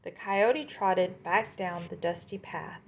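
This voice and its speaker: Woman reading slowly to children. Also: on the low side, gravelly. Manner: explanatory asides to young listeners. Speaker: storyteller. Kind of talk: children's story read-aloud.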